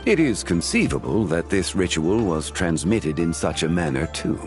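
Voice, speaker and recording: An actor voicing a wise, composed ancient god in human guise in a video game, measured, formal and scholarly. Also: male voice, low-pitched, big pitch swings, music in the background. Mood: calm, reflective. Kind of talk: scripted video game voice acting.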